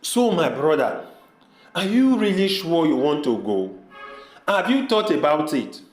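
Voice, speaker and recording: A man's voice: a little high, sing-song, unhurried. A man with a Nigerian accent playing an earnest village man pleading with his brother in an amateur audio drama, asking repeated questions. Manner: pleading, repetitive rhetorical questions. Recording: echoey room.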